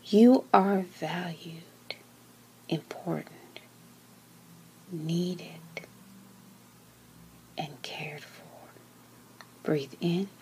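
A woman leads a guided meditation in a calm, gentle, breathy voice that often sinks to a near-whisper, speaking in short phrases with long pauses. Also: on the low side, rough recording. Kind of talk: slow guided meditation, soothing scripted narration.

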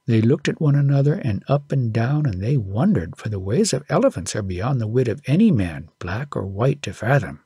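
A man's voice: medium pitch, sing-song, moderate pace, few pauses, dark tone, husky, no room sound, studio quality. A man reading a story aloud as an audiobook narrator, in scripted storytelling style.

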